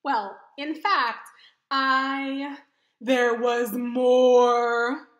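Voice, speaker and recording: A woman voicing an emotional, excitable male elephant in a children's picture book, with dramatic exclamations. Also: sits high, very slow, heavily acted. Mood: dramatic, upset. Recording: roomy echo.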